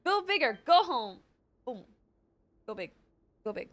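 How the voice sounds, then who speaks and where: A woman with a high, very bright voice reacts casually to a video game. Her pitch jumps around as she exclaims in slang and playfully repeats herself. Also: very animated, unhurried. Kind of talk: casual excited reaction commentary.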